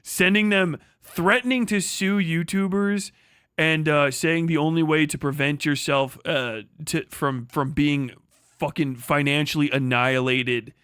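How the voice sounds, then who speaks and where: A man's voice: a little high, steady tone, a little slow, fairly bright tone, smooth, no room sound, clean studio sound. A man livestreaming a casual, rambling rant, full of profanity and slang, often stammering over repeated words. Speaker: live streamer, online commentator.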